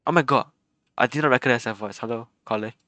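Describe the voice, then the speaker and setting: A man's voice: medium pitch, fast talker, bright, forceful tone. A young man chatting casually and laughing often while streaming a game. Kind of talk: casual live game commentary.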